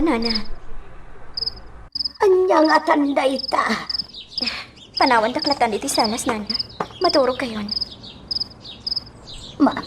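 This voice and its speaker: A woman's voice: high-pitched, heavy background noise. A woman acting a worried, sleepless woman in an Ilocano radio drama.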